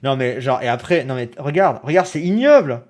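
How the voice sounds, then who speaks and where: Animated man reacting on a live stream. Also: mid-range voice, brisk. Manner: animated, self-mocking, exclamatory.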